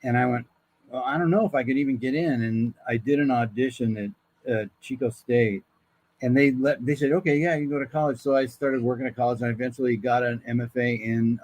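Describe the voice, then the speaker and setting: An older man with an American accent tells loose, casual stories as a guest. His pitch is average and the sound is dry, with very little room. He acts out both sides of the conversations he recalls. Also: no room sound, low-quality recording. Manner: animated, acts out quoted dialogue. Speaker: guest voice actor. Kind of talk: casual anecdotal storytelling.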